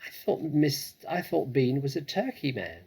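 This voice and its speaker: A man voicing an eager, excitable little boy fox from a storybook, with a wide-eyed manner. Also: mid-range voice, measured pace, creaky, husky, voice pushed up, full character voice. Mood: puzzled.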